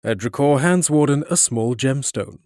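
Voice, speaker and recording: A man's voice: medium pitch, animated, moderate pace, darkish tone, dry recording, studio quality. A man reading scripted narration for a fantasy drama.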